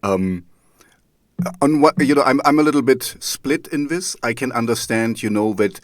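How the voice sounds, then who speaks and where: A man's voice: medium pitch, expressive, normal speed, dry recording. A man from East Germany with a German accent, hosting an interview in a hesitant way, full of fillers and restarts. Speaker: podcast host. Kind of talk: casual interview over a call.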